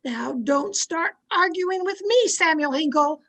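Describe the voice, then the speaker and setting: A woman acting a bossy society wife in an old-time radio play. Her voice is high and her delivery slow and theatrical. She scolds and commands with haughty indignation. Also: expressive, a bit bright, heavily acted. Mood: indignant, scolding. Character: domineering, haughty society wife, easily outraged. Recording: amateur video-call recording.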